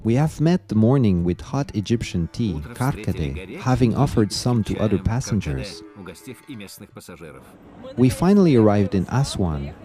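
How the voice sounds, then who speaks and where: A man reading scripted travel documentary narration in a measured, formal, slightly stiff way. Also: lowish voice, sing-song, warm tone. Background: music.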